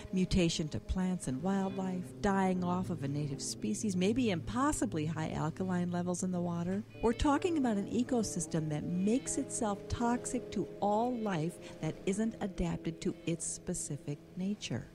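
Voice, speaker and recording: An actress as an earnest woman scientist in a horror radio play. Her voice sits low and moves with lively intonation, explanatory and matter-of-fact, over prominent music. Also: normal speed, hardly any pauses, natural voice. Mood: earnest, grave. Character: earnest woman scientist alarmed by a polluted lake's monster. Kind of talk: audio horror drama acting.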